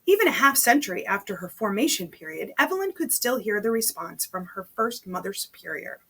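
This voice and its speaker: A woman reads a novel aloud in an informal, conversational way. Her voice is a little husky and sits at a medium pitch. She often drops out of the narration to comment directly to the listener. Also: very animated, a little fast, fairly bright tone. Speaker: amateur read-aloud reader. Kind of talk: reading a novel aloud with chatty personal asides.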